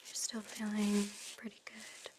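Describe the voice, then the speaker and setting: A woman playing a gentle, attentive nurse in an ASMR roleplay, speaking in a breathy, reassuring whisper. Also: medium pitch, completely flat delivery, a little hiss or hum, lightly acted. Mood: gentle, soothing. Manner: slow, soothing, reassuring. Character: gentle, soothing, attentive female recovery nurse. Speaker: ASMR performer.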